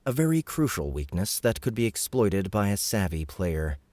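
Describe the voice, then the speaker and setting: A man's voice: on the low side, sing-song, moderate pace, darkish tone, dry recording. A man reading scripted fiction narration for an audiobook.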